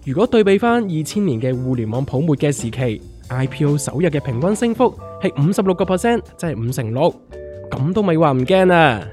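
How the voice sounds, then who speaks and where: A man's voice: fairly high pitch, sing-song, fairly quick, darkish tone, soft background music. A man playing a wry, sceptical big-guy pal in a comic radio drama, exasperated and exaggerated, with a Hong Kong Cantonese accent. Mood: worried.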